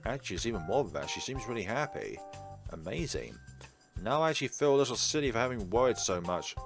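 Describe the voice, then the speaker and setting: Low-voiced man doing lively game commentary. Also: quick pace, creaky, over music. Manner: mixes narrated reading with casual offhand remarks. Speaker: host of a game playthrough. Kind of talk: let's play commentary, reading visual novel text aloud.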